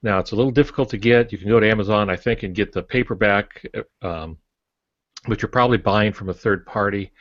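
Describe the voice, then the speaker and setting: Older man, low voice, casual podcast talk. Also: fairly quick, no echo, dull audio. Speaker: podcast co-host and writing teacher. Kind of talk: casual panel discussion.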